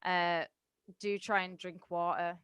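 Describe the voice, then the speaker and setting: A woman's voice: on the low side, flat delivery, takes it slowly, a bit bright, clean tone, no room sound. A woman in her thirties, a podcast co-host, chatting playfully and teasing before a livestream, swearing freely. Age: 30s. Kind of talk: casual banter before a livestream.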